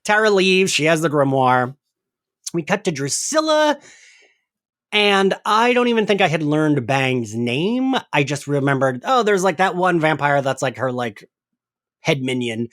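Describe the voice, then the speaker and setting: Male host for a casual recap chat: very animated delivery, giggly and meandering. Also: fairly high pitch, a little slow. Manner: giggly, filler-heavy, rambling. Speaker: podcast host. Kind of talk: casual chatty recap discussion.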